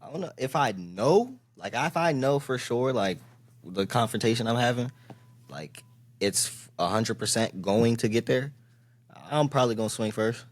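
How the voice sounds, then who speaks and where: A man's voice: mid-range voice, expressive, moderate pace. Man, young adult, from the DMV area, podcast co-host, laid-back slang, frequent laughter. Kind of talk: casual podcast chat.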